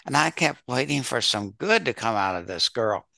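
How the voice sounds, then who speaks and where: A man in a book group discussion with a gravelly voice, starting hesitantly with stammers. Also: mid-range voice, very animated, moderate pace, bright, forceful tone, no room sound.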